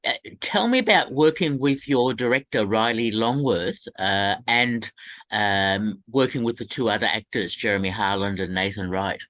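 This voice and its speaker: Bright-voiced man hosting an interview. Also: fairly low pitch, normal speed. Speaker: show host and interviewer. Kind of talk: arts interview.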